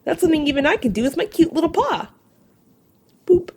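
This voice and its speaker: Cutesy, high-voiced talking dog, played by a woman. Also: very animated, fairly quick, darkish tone. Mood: playful. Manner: playful, cutesy. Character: cute, playful pet dog, cheerfully self-pitying. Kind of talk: scripted in-character podcast promo.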